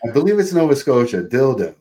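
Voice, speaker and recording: A man's voice: medium pitch, sing-song, fast, warm tone. A man from Canada chatting casually as a podcast guest, with lots of fillers. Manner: chatty, laughs easily, many likes and you-knows. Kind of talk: casual video-call chat.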